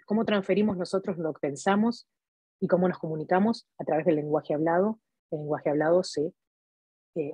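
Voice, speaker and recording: Argentine woman in her 40s, hoarse, dark husky voice, chatty online lesson that wanders off topic. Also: lowish voice, no echo. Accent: Argentine Spanish. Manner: chatty, digressive, frequent "¿sí?" check-ins. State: hoarse from talking all day. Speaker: immigration and language-test advisor. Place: home room, indoors. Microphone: webcam video recording.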